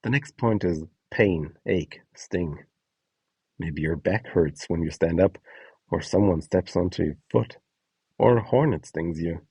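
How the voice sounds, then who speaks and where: Deep, mellow, husky man presenting a guide. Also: a little slow, dry recording, low-quality recording. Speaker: presenter of an audio donation guide. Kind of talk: scripted spoken guide, announcing prompts with short example scenes.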